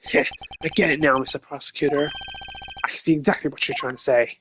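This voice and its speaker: A man's voice: a little high, fairly even intonation, fast talker, a bit bright, a little hiss or hum, lightly acted. A man voicing a cocky, combative detective in a video game, blunt and taunting. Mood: challenging. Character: cocky, combative male detective challenging the prosecutor. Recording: game music behind the voice.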